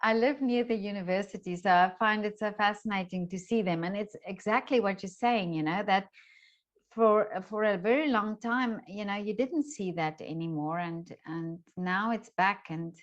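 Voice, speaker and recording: A woman's voice: mid-range voice, normal speed. A woman hosting a casual conversational interview, phrasing hesitantly and repeating words, on a dull lo-fi recording. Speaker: interviewer and host.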